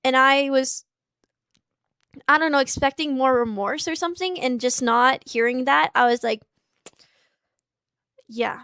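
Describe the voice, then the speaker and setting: A young woman in her twenties, a streamer telling a personal story in a halting way, with many restarts and pauses. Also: sits high, sharp tone, clear, resonant tone, no echo, studio quality. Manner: halting, tearful at times. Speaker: streamer in a played clip. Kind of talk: emotional personal account. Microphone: small clip-on mic.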